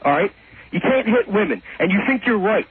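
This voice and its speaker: A man's voice: sits high, animated, brisk. A man phoning in to a radio show, arguing heatedly in an insistent, repetitive, accusatory way. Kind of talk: heated unscripted phone-in argument. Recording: tinny narrow phone line on radio.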